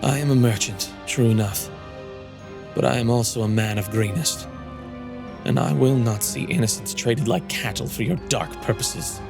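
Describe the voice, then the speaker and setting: A man's voice: medium pitch, moderate pace, creaky, over music, natural voice. A man voicing a principled older merchant in a fantasy audio story, earnest and resolute. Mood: defiant. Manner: steady, earnest, defiant.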